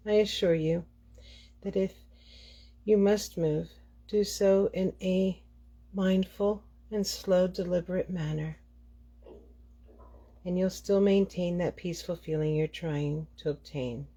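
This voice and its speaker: A woman leading a guided meditation as the guide, speaking in deliberate phrases with frequent pauses. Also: lowish voice, fairly even intonation, dark tone.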